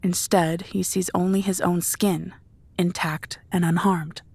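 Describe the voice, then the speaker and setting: A woman narrates a dark audio drama from a script. Her voice sits low and has a husky, breathy texture. She describes each scene in a measured storytelling style. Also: level tone, dry recording.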